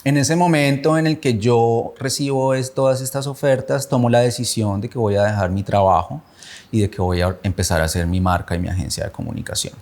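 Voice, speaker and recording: A man in his thirties from Bogotá with a Colombian accent, giving a reflective personal testimony, measured and often searching for words. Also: mid-range voice, fairly even intonation, darkish tone.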